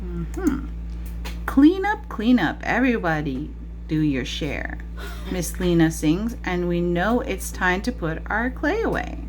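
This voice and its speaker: A woman's voice: fairly low pitch, very animated, slow pace, slight background noise. A woman teacher reading a picture book aloud to young children, chatting to them directly with questions and asides. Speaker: preschool teacher.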